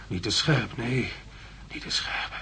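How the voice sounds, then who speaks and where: An actor playing an exhausted, delirious astronaut in a radio drama, muttering haltingly in broken, repeated phrases. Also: male voice, medium pitch, vocal fry, bright, forceful tone, slight background noise, full character voice. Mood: anguished delirium. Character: exhausted delirious male astronaut reliving a perilous landing.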